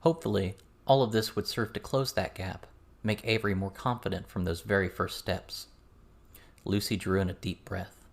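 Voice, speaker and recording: A man's voice: low voice, steady tone, normal speed. A man reading scripted fiction as an audiobook narrator.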